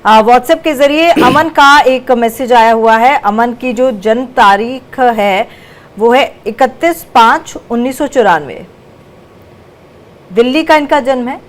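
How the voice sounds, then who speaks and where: Woman TV host with a high voice. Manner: brisk, repeats points for viewers. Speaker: news channel anchor. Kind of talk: live TV call-in show hosting. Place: TV studio.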